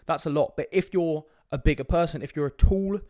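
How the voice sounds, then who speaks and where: A young man talks through a casual solo fitness podcast in a direct, informal way, checking in with quick "right?" tags. He is sniffly from a cold, and his voice sits a little higher than most men's. The sound is dry and close to the mic, with no room around it. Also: a little mellow, no room sound. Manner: direct, casual, swears, frequent "right?" asides. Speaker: fitness coach podcast host.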